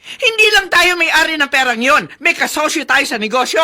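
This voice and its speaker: A woman playing an angry, accusing wife with a shrill, sarcastic delivery in a radio drama. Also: animated, moderate pace, bright, forceful tone, heavily acted. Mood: furious, accusing. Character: angry, nagging wife accusing her gambler husband.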